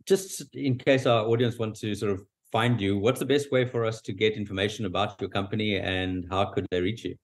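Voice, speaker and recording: A man with a South African accent, hosting an interview, asking questions and answering with quick short affirmations. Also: fairly low pitch, fairly even intonation. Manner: brisk, frequent short affirmations. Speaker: podcast host.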